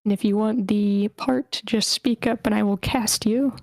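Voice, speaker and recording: Lady running an online script read, mid pitch, reads stage directions, close dry sound. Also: fairly even intonation, measured pace, creaky, darkish tone, no room sound. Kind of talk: live read-aloud of screenplay directions and casual hosting. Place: online meeting.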